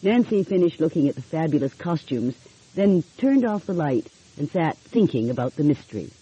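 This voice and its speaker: A woman narrating an audiobook in an expressive storytelling style. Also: low voice, moderate pace, breathy edge. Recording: dull, lo-fi audio.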